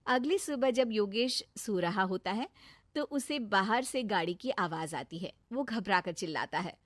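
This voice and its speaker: A woman narrating a scripted animated village story. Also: mid-range voice, measured pace, dry recording.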